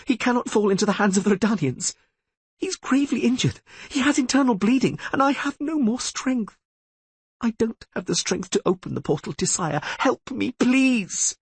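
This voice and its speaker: A man's voice: high voice, very animated, normal speed, a little mellow, husky, no room sound, pitched above the performer's own voice, heavily acted. A man reading an audiobook voices a desperate young sorceress who speaks in a pleading, urgent way.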